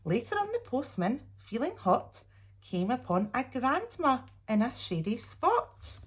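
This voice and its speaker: A woman reads a picture story aloud for young children. Her voice sits fairly high and moves widely up and down, with a husky edge. She leaves dramatic pauses and drops in small asides to her listeners. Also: very animated. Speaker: teacher reading a picture book aloud.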